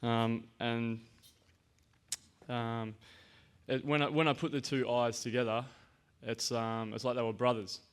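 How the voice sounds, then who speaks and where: A man sharing a personal insight at a seminar, halting with many ums and restarts. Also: mid-range voice, flat delivery, clean tone. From Australia. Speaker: seminar participant.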